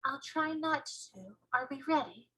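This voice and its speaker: A woman's voice: high voice, moderate pace, a bit bright. A woman voicing a small storybook girl, plucky and a little anxious, with earnest, well-mannered phrasing. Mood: polite, anxious. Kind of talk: children's storybook character voice.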